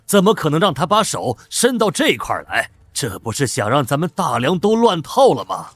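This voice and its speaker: An actor playing an alarmed, snobbish conservative scholar in a historical comic drama. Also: male voice, fairly high pitch, wildly swooping pitch, moderate pace, no echo, soft background music. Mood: pleading, scornful.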